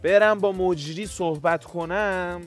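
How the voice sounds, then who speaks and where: A man's voice: high-pitched, music in the background, lightly acted. A man playing an offended single contestant in a comic sketch, indignant and complaining.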